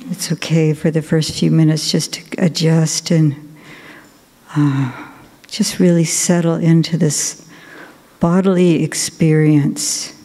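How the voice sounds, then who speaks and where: A woman, a Buddhist nun, guiding a meditation in a slow, gentle, soft-spoken way with long pauses. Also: low-pitched, flat delivery. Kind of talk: guided meditation. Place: large cathedral. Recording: long cathedral reverb.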